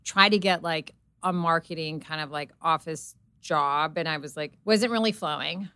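A woman's voice: sits low, moderate pace, pushed and bright, clear, resonant tone, dry recording. A middle-aged woman, a guest on an interview show, telling her story casually with lots of fillers and quoted inner thoughts. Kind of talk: casual chat.

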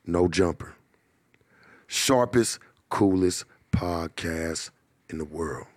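Podcast host, man, low voice, laid-back and hyping the guest. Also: slow. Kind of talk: casual podcast interview.

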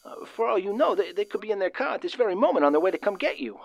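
An actor playing a nervous, excitable young working man of the 1930s, stammering and using folksy slang, in a radio drama. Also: sits high, rapid-fire, creaky, lo-fi sound, heavily acted. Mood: apologetic, concerned. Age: young adult. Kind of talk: old-time radio drama acting.

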